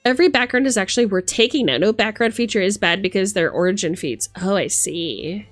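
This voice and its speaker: A woman streamer chatting casually and reading aloud from a rulebook, with an animated, theatrical manner as she reacts. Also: mid-range voice, a little fast, dry recording. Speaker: streamer host. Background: music.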